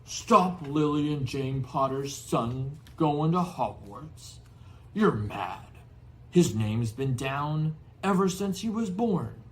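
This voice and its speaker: A gruff but big-hearted giant, acted out by a male narrator, growling and fiercely loyal. He talks in rough rustic dialect, as in a storybook reading. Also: a little high, lively intonation, slow pace. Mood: indignant. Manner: rustic, colloquial, dropped words and dialect grammar.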